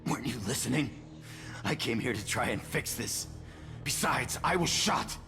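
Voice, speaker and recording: An actor playing an irritable, defensive medical technician in a video game, argumentative and self-preserving. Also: male voice, mid-range voice, expressive, normal speed, long reverb tail, music in the background, natural voice. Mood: defensive, agitated. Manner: testy, argumentative. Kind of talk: dramatic video game dialogue.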